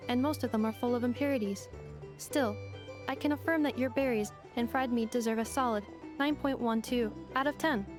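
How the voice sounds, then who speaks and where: A woman voicing a polite, literal-minded female robot in a video game, speaking in a formal, measured, matter-of-fact way. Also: a little high, natural voice. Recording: music behind the voice.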